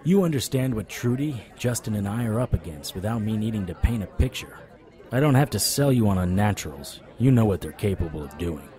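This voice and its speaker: An actor playing a stubborn, wisecracking supernatural private investigator in an audio drama, wry, flirtatious and persuasive. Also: male voice, lowish voice, lively intonation, fairly quick, warm tone, some chatter behind, natural voice. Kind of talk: audio drama acting with noir internal monologue.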